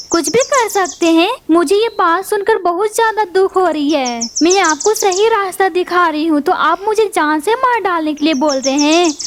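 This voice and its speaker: A woman's voice: very high voice, slight background noise. A woman voicing a mother bird in a cartoon who reproaches her husband in an earnest, pleading way.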